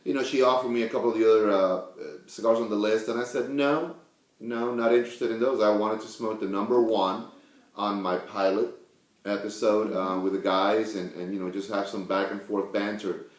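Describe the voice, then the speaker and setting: A man's voice: on the low side, steady tone, a little fast. A talkative Cuban man hosting a casual cigar show, rambling irreverently and swearing mildly among friends. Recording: echoey room.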